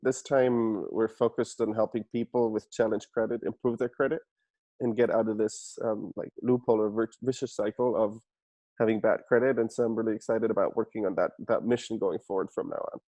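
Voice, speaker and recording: A man's voice: on the low side, steady tone, fairly quick, mellow, no room sound, low-quality recording. A man in his thirties from Germany with a German accent, answering interview questions in an earnest, reflective way with frequent restarts. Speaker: guest entrepreneur.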